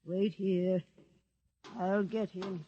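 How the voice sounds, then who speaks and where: Guarded doorkeeper, high and unhurried, curt, suspicious, old radio play with long reverb. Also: male voice, flat delivery, warm tone, dull audio, a little hiss or hum. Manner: suspicious, terse, deliberate. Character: wary, terse gatekeeper of a holy man's dwelling. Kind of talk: 1950s radio drama acting.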